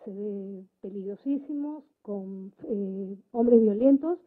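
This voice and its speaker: A woman's voice: mid-range voice, takes it slowly. A woman making explanatory remarks as a participant in a public debate. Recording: muffled narrow phone-like sound.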